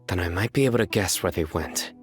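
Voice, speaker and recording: A man's voice: low-pitched, fast, soft background music, natural voice. An actor playing a stern, dutiful young lawman, serious and terse, in a video game. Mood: resolute. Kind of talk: video game cutscene acting.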